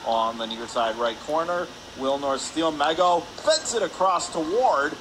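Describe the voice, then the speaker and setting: Male sports announcer, higher voice, clipped play-by-play phrasing, live radio hockey call, clear background noise. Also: expressive. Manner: clipped rapid phrases, rising on shots. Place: ice rink arena.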